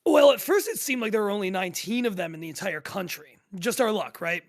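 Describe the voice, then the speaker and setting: A man's voice: high voice, lively intonation, fast. A man playing a talkative, easygoing treasure hunter, telling his story in a casual, rambling way. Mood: recounting, wry. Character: talkative, easygoing treasure-hunting game player. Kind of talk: acted casual storytelling in a table read.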